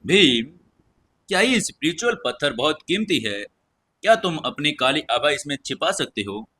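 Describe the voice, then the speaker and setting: A man's voice: a little high, big pitch swings, moderate pace, sharp tone, husky, heavily acted. A man voicing a young female magic student in an audio story, curious, offhand and dismissive.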